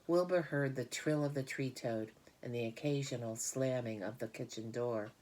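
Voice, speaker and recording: A woman's voice: rumbling low, moderate pace, a little mellow, rough recording. A woman reading a children's story aloud as scripted narration. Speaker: audiobook reader.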